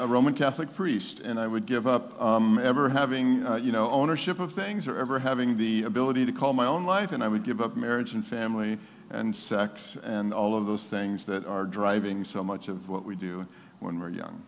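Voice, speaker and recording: A seventy-year-old man preaching with personal testimony, emphatic and animated, repeating phrases for effect. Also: medium pitch. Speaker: pastor preaching to his congregation. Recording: long hall reverb.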